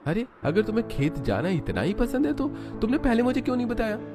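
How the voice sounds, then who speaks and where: A caring young husband from a cartoon family story, acted by a man. The voice sits clearly higher than plain narration, addressing family in an attentive way. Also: very animated, fast, music in the background. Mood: concerned, eager.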